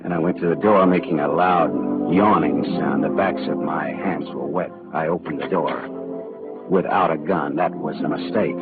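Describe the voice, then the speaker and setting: A man's voice: rumbling low, normal speed. An actor playing a tough, wisecracking male private detective who also narrates, in a 1940s radio drama. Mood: narrating. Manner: hard-boiled, wry, clipped. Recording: tinny old radio broadcast with faint music.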